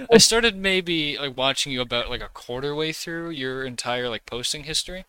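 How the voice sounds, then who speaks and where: A man's voice: fairly high pitch, animated, normal speed, bright, forceful tone, clear, resonant tone, no room sound, rough recording. A man hosting a podcast in a casual, reassuring interview chat, prompting his guest. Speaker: podcast host.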